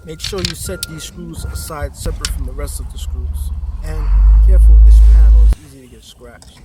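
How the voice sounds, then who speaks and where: A man's voice: a little high, moderate pace. A man presenting an unscripted hands-on installation tutorial, talking informally through each step as he works. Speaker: car audio installer, presenter. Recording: noisy, clicks and handling of parts.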